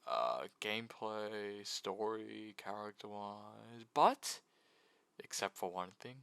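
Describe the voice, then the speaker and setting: A man's voice: lowish voice, animated, slow pace, vocal fry, a bit bright, clear, resonant tone, no room sound. A man giving a casual, jokey video essay about games, with mock sincerity.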